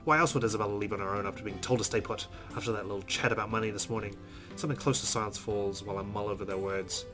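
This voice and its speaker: A man reading visual novel narration aloud as a streamer, stumbling now and then and dropping in amused asides. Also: lowish voice. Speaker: let's play streamer. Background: guitar music from the game.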